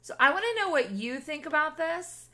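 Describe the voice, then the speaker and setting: A woman hosting a gaming video with casual, gushing, expressive commentary aimed at her viewers. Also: high voice, normal speed.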